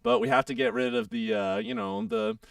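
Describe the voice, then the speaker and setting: A man's voice: extremely high pitch, fairly even intonation, moderate pace, no echo, studio quality, recorded from a distance. Froggy-voiced male radio host. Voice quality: froggy, gravelly. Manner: chatty, rambling with many fillers. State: hoarse, getting over a sore throat. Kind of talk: casual radio show chat.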